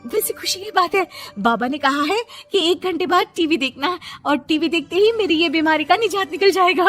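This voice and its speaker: A woman's voice: very high voice, expressive, moderate pace, fairly bright tone, faint music behind, voice pushed up. A woman voicing a forgetful, naive young daughter-in-law in a whiny, chatty, pleading manner for a comic story. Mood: anxious, apologetic.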